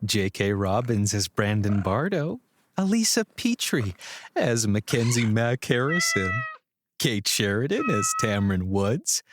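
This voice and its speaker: A man putting on a whimsical, genteel radio announcer for a comedy show. He takes his time, with swooping pitch and theatrical, playful asides. There is some noise behind him. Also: wildly swooping pitch, unhurried. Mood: playful, delighted. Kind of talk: comic radio announcing of credits.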